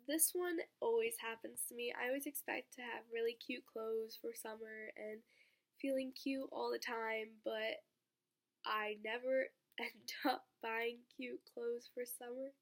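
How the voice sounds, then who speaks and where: A teenage girl talks to her viewers while sick, her voice a little off and fry-laden. She sits a touch above the usual pitch and rambles casually with plenty of fillers. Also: steady tone, measured pace. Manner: rambling, lots of "like" and "um". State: sick, voice sounds off. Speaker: vlogger. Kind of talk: casual chat to camera.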